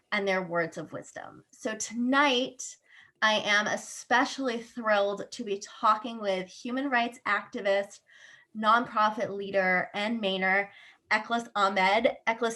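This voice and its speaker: A woman's voice: medium pitch, sharp tone. A woman hosting a live online interview over a video call, welcoming the audience and going through event housekeeping in an upbeat way. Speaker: interview host, board member.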